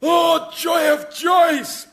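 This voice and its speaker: An actor playing a zealous, solemn martyr newly arrived in the afterlife in a radio comedy. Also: male voice, very high-pitched, little pitch movement, extremely slow, fairly bright tone, shouting, heavily acted. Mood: ecstatic. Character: zealous, elated male martyr newly dead. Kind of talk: broadcast radio comedy acting.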